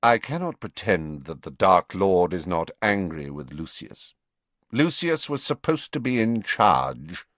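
A man voicing a cold, guarded professor who speaks flatly and slowly in an audiobook reading. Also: low voice, no echo, full character voice. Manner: flat, slow, measured, icy.

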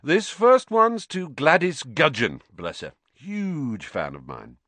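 A man's voice: fairly high pitch, big pitch swings, takes it slowly, a bit bright, no echo, pitched above the performer's own voice, full character voice. A man reading an audiobook, voicing a vain and pompous grown wizard teacher who gushes with self-importance. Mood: gushing. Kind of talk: audiobook character voice.

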